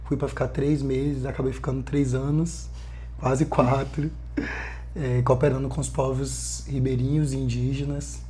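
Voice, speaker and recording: A man in his twenties from Bahia, a missionary guest telling his story in an earnest, lively way with many fillers. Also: mid-range voice, moderate pace, slight background noise. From Bahia, Brazil. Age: 20s. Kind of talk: casual podcast conversation.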